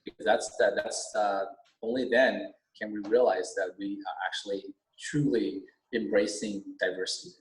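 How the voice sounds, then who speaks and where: Middle-aged male teacher, Vietnamese first language, earnest and halting, recorded in a reverberant room. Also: on the low side, steady tone. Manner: halting, many restarts. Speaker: teacher and school board candidate. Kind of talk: earnest interview talk. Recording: roomy echo.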